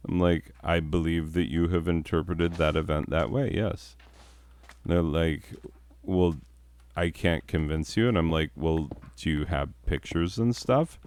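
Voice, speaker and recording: A man, a teacher, chatting casually in a deep voice with a downward, newscaster-like inflection that sounds authoritative. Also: unhurried, darkish tone, smooth. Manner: rambling with restarts and chuckles. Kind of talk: casual chat.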